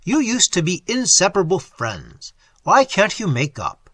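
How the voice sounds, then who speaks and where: An excitable little male scientist, as a man reading the story puts him on, high and shrill as he shouts in fury. His voice leaps wildly up and down and stays bright and breathy. The sound is close and dry. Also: unhurried, dry recording, exaggerated voice. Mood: furious.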